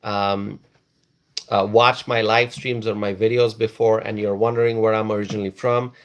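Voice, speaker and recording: A man's voice: on the low side, little pitch movement, moderate pace. A man from Bangladesh hosting a casual live stream, reading viewers' comments aloud and repeating phrases for emphasis.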